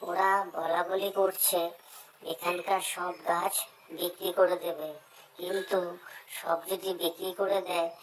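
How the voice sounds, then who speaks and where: A caring cartoon mother bird, acted by a woman, low-pitched and earnest. The words come deliberately, over faint background noise. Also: flat delivery, close to an everyday voice, low-quality recording. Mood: concerned. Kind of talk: cartoon story voice acting.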